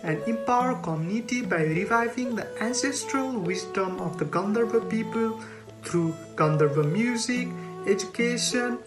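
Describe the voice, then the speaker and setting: A woman from Nepal giving a scripted presentation, reading prepared text in a measured, formal way. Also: mid-range voice, expressive. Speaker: community organisation co-founder. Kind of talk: scripted presentation in a recorded video. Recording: plucked string music behind the voice.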